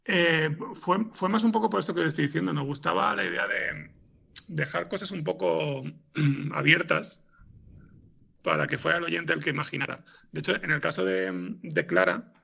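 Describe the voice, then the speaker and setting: A man with a raspy, gravelly voice, answering interview questions in a hesitant way, as if thinking aloud. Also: a little high, lively intonation, moderate pace, pushed and bright. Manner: hesitant, thinking aloud, frequent throat clearing. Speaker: interviewed podcast scriptwriter.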